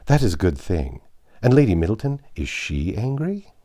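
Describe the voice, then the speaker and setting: A man voicing a gossipy, excitable, vulgar young Regency woman in a storybook reading, chattering on in run-on sentences. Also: fairly low pitch, moderate pace. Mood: eager prying.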